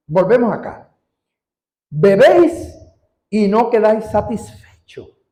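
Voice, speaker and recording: A man preaching a sermon as pastor, stretching out key words and rising in pitch for emphasis. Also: mellow, roomy sound. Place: church.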